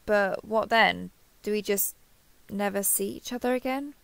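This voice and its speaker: A woman's voice: mid-range voice, a little slow, gravelly, a bit bright, smooth, dry recording. A woman playing a kindhearted, awkward young woman who gets easily flustered, speaking hesitantly and earnestly in an intimate roleplay audio drama. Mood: hesitant, dry.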